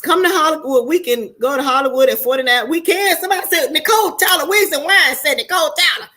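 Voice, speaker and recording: High, bright-voiced American woman in her forties. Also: quick pace. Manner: candid, colloquial, preachy, swears freely. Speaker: online show host talking to viewers. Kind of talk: casual livestream monologue.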